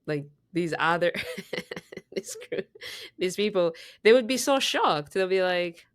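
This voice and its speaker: A woman from Zambia tells a long story in a low, deep voice, full of "like" and false starts. The sound is dry and close, with no room around it. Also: lively intonation, slow, dry recording. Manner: chatty storytelling, many fillers and restarts. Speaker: podcast co-host. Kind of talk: casual podcast conversation.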